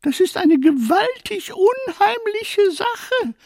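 High, slow, soft-toned timid little ghost. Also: sing-song, no room sound, clean studio sound, exaggerated voice. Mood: anxious. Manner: fussy, reluctant, dramatic complaining. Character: timid, vain, mildly spooky young ghost. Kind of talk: children's audio play acting.

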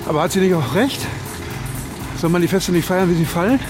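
A man's voice: sits high, a little fast, over music, close to an everyday voice. An actor playing a needling, ironic male friend in naturalistic radio drama, with a teasing, mock-earnest manner. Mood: ironic, prodding.

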